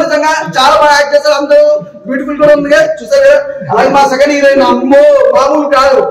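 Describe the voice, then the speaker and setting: Really high fast-talking guy hyping a film, loud and emphatic, often shouting, echoey. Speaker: audience member giving a movie review. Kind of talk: excited public talk movie review. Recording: echoey, distant mic with crowd noise. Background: crowd.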